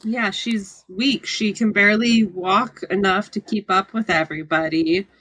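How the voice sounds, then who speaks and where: Bright-voiced woman, wry and informal. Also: mid-range voice, steady tone, a little slow, no echo, low-quality recording. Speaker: podcast panelist. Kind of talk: casual book discussion.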